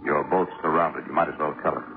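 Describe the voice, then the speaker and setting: An actor playing a stern, terse police lieutenant in a 1950s radio drama. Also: male voice, rumbling low, steady tone, fast talker. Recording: noisy, tinny old radio recording.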